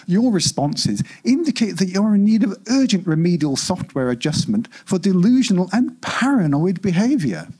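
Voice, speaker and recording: Give me a customer-service AI from a near-future story, read aloud by a man. It is unfailingly polite and quite implacable. The patter is scripted and corporate, in a slightly husky voice with lively swings of pitch. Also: normal speed, few pauses, exaggerated voice. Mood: bland, implacable. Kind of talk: live short-story reading.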